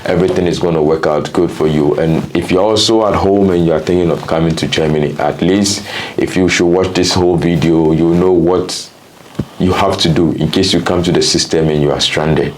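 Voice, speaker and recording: A man hosting a casual interview, with a very deep, low-pitched voice. He speaks in a measured, affirming way to his viewers. Faint noise hums under the recording. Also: steady tone, darkish tone, poor audio. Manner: affirming listener, brief interjections. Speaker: host.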